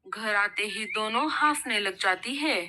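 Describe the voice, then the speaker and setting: A woman's voice: mid-range voice, a little slow, pushed and bright. A woman narrating a children's cartoon story from a script. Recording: noticeable background noise.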